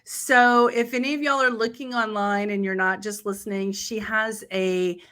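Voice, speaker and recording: A woman's voice: medium pitch, fairly even intonation, normal speed, fairly bright tone, clear, resonant tone. A woman hosting a travel podcast, talking with a guest in a casual interview over a video call, upbeat and chatty. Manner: upbeat, chatty, laughs easily. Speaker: podcast host.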